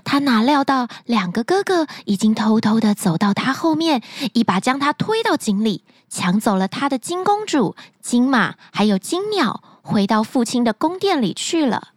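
A woman narrating a fairy tale as a bedtime story, gently and expressively, close to the mic. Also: on the high side, sing-song, moderate pace, hardly any pauses, no echo.

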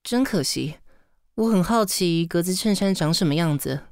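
An actress playing a sarcastic, long-suffering assistant in a radio drama, with a Taiwanese Mandarin accent and a teasing, weary manner. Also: female voice, lowish voice, animated, normal speed, darkish tone, no echo, studio quality. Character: sardonic, long-suffering female assistant to a fashion director. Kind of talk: radio drama acting with inner monologue.

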